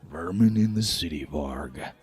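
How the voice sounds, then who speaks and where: A man plays a sneering giant raider in a fantasy game. The voice is very low and drips with mockery, with faint music underneath. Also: expressive, a little slow. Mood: mocking. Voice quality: dripping with mockery. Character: mocking, sneering giant warrior.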